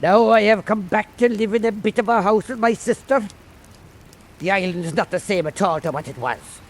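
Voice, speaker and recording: A man plays a lonely old seaman home on his island, in a radio drama. His voice is high, and he reminisces in a wistful, disillusioned way. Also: moderate pace. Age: older.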